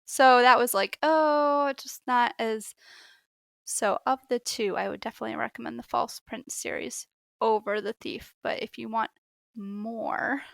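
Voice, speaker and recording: A woman's voice: a little high, steady tone, unhurried, gravelly, a bit bright, dry recording, studio quality. A woman in her thirties or forties, a podcast co-host chatting casually and animatedly about books she has read. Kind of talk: casual book chat.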